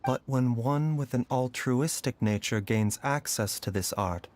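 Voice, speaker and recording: A man playing a calm, gentle physician in a game, who speaks in a thoughtful, reflective and resolute way. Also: lowish voice, lightly acted.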